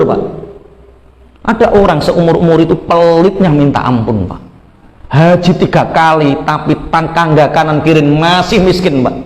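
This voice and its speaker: Echoey Javanese-accented male preacher, higher voice. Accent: Javanese Indonesian. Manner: folksy, animated storytelling mixing Indonesian with Javanese. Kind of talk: storytelling sermon.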